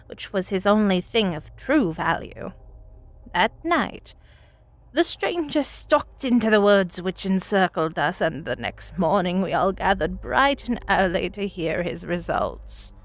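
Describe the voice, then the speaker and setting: A woman voicing a very elderly village woman, telling a grim tale from her childhood in a folksy, deliberate, dramatic manner. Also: mid-range voice, sing-song, no echo. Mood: wry, ominous. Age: old woman as played. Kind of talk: dramatic first-person storytelling.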